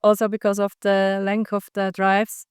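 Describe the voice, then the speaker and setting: A woman's voice: mid-range voice, completely flat delivery, normal speed, a little hiss or hum. A woman from Switzerland, answering questions about a tour in a cheerful, chatty way. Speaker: tour participant.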